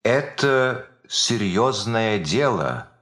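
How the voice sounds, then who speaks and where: A man playing a calm, serious father in a slow, scripted language-course dialogue. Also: lowish voice, reverberant, heavily acted. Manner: measured, serious. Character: calm middle-aged father, reasoning with son.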